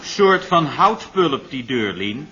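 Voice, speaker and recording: An actor playing a dry, pedantic assistant in an old radio play, deadpan and formal. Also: male voice, medium pitch, slow pace, exaggerated voice. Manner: deadpan, correcting others, formal. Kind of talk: 1970s radio drama acting. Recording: dull old broadcast with faint hiss.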